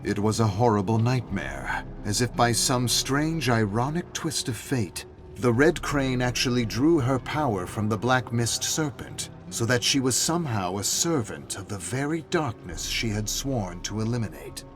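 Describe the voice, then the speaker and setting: An actor playing a grave, brooding storyteller, narrating dark tales in a measured, theatrical delivery. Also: male voice, medium pitch, expressive, soft background music, close to an everyday voice. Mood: grave, ominous. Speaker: narrator of game lore logs. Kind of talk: dramatic scripted narration.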